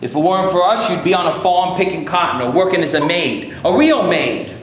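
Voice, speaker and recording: A very echoey, distant recording of a staged reading carries a man as a hard-nosed studio boss. His voice is high, and he argues defensively in an emphatic, businesslike way. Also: expressive, fairly quick. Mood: insistent. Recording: echoey live room, distant camera, noisy.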